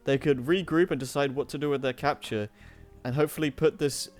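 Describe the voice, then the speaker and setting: A man of average pitch reads a story aloud to an online audience. He narrates in a casual storybook way and trips over words, correcting himself as he goes. Light music plays faintly behind him. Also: steady tone, brisk, a little mellow. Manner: stumbles and self-corrects while reading. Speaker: narrator of a streamed fan-fiction reading.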